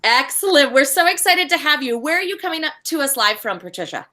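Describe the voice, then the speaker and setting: An upbeat woman hosts a live online session, welcoming guests and encouraging them. Her pitch swings widely and sits a little high. Her tone is bright and forward. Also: animated, brisk. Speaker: host. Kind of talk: live webinar hosting.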